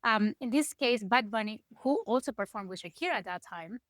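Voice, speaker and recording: A middle-aged woman from Ecuador, speaking English with an Ecuadorian Spanish accent as a guest musician, lively and sometimes searching for words. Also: fairly high pitch, brisk, no room sound, clean studio sound. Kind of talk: casual interview chat.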